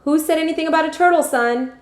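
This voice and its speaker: A woman reading as a kindly, reasonable father talking to his son in a measured, explanatory way. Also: high voice, pitched above the performer's own voice, natural voice. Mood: reassuring. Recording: echoey room.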